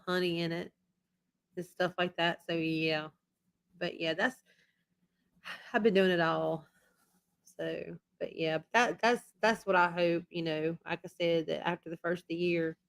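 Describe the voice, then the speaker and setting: A woman hosting a relaxed live stream, her voice low-set and fairly level. She rambles and circles back over the same points. She is losing her voice after being sick, and it sounds a little worn. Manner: rambling and repetitive. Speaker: YouTube channel host. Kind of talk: casual live-stream chat.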